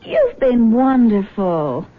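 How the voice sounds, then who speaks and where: Earnest nurse, animated pitch. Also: female voice, slow pace, full character voice. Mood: tender, concerned. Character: caring, earnest nurse, devoted goddaughter. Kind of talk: 1970s radio drama acting. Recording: noisy, narrow-band old radio broadcast.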